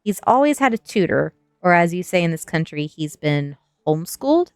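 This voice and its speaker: A woman's voice: on the low side, very animated, moderate pace, darkish tone, no room sound, studio quality, faint music behind. A woman voicing a bony, creepy old European manservant in an audiobook, formal and courtly, with a thick accent. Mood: droll, courtly.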